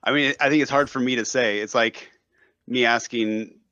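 A man's voice: medium pitch, fairly even intonation, moderate pace, bright, forceful tone, no echo, muffled recording. A man speaking as a guest in a casual discussion, easygoing and thinking aloud.

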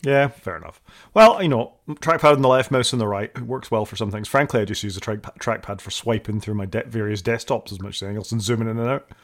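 Male co-host, quick upbeat chatter, gravelly edge. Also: fairly low pitch. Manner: chatty, upbeat and self-deprecating. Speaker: podcast co-host. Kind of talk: casual chat.

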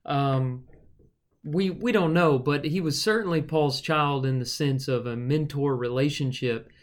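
A man's voice: a little high, measured pace. A man preacher co-hosting a casual Bible study podcast, thinking aloud with fillers and restarts.

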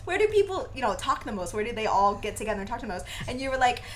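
Giggly, animated young woman podcaster. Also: fairly high pitch, fast, a little hiss or hum. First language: Vietnamese. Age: young adult. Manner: giggly, animated, laughs often. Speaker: podcast co-host. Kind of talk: casual storytelling chat.

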